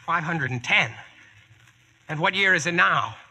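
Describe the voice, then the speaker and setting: A man's voice: on the high side, expressive, unhurried, bright, forceful tone, husky, exaggerated voice, rough recording. A man acting a brash, slangy modern American stranded at King Arthur's court in a 1940s radio drama. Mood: incredulous, wry. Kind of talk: 1940s radio acting with first-person narration. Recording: vintage 1940s radio recording, music behind.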